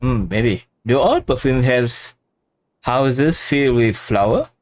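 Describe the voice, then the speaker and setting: A woman with a very deep voice gives casual game commentary and reads text aloud. She goes slowly and haltingly, stumbling at times, yet her pitch moves in a lively way over faint game music. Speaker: game streamer.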